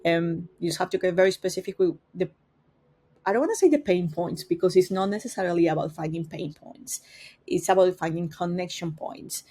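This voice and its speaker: A woman's voice: on the low side, fairly quick, mellow. A woman with a strong Spanish accent, a native Spanish speaker, teaching an informal marketing class in a conversational way and often searching for words. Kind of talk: informal master class talk.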